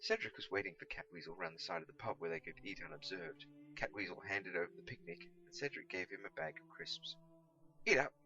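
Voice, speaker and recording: A man reading a storybook aloud as scripted narration, in an expressive, dramatic manner. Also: on the high side, quick pace, creaky, few pauses, highs cut off, music in the background. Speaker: amateur audiobook reader.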